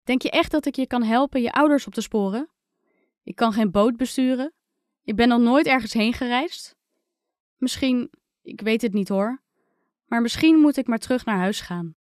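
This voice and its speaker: A woman's voice: a little high, moderate pace, darkish tone, no room sound, studio quality, lightly acted. A woman voicing an earnest, self-doubting young girl in a children's adventure story. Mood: downcast, doubtful. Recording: music under the voice.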